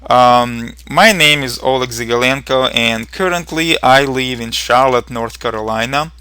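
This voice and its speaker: A man's voice: medium pitch, measured pace, bright, forceful tone, smooth. A young man from Ukraine with a Ukrainian accent, earnestly narrating a programming tutorial with frequent ums and uhs.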